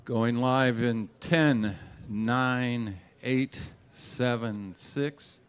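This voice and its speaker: A man directing a radio play, playfully and deliberately instructing the studio audience. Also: mid-range voice, clear, resonant tone. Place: live stage with microphones.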